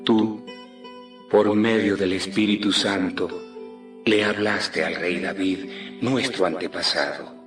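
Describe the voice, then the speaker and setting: An actor playing a solemn, reverent male apostle praying aloud in a dramatized audio Bible, measured and prayerful. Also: deep voice, fairly bright tone. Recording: echoey, distant, music bed behind.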